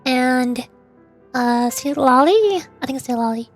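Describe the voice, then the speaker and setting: A woman's voice: sits high, animated, measured pace, faint music behind. A woman streamer giving chatty, rambling, casual commentary on a game. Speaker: streamer, VTuber.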